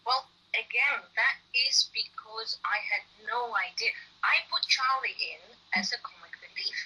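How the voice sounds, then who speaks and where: A woman's voice: a little high, very animated, measured pace, pushed and bright, slightly rough, poor audio. A middle-aged woman with a Dutch accent, an author chatting casually and bluntly in an interview, often restarting her sentences. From Netherlands.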